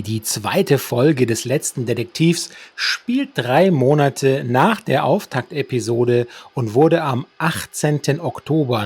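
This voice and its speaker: A man's voice: medium pitch, breathy edge. A man co-hosting a podcast, talking in a playfully formal, wordy way as he discusses a radio drama. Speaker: podcast co-host. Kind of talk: semi-scripted radio drama discussion with free commentary.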